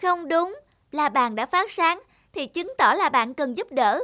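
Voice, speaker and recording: A woman's voice: high-pitched, animated, unhurried, sharp tone, heavily acted. A woman voicing a bossy, impatient little witch girl in a children's cartoon. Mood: pushy. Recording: music under the voice.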